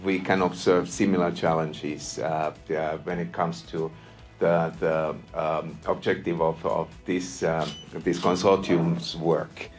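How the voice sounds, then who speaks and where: A man from Finland with a Finnish accent, giving a formal launch speech in a halting way with many pauses and repeated words. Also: deep voice, level tone, soft background music. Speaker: EU delegation official giving a speech.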